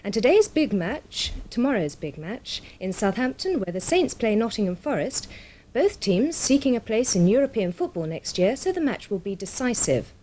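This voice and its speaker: A woman reads a scripted regional television news bulletin in a formal, measured manner. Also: fairly high pitch, very animated, dark tone, a little hiss or hum, poor audio. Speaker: television newsreader.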